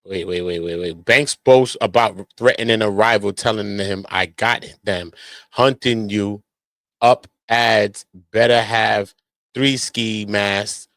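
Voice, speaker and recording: A man's voice: on the low side, fairly even intonation, takes it slowly, a bit bright, no room sound. An American man doing casual street-slang commentary on criminal cases, speaking in an emphatic, repetitive, slangy way. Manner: repetitive verbal tags, emphatic slangy delivery. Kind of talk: casual street-slang commentary, reading documents aloud at times.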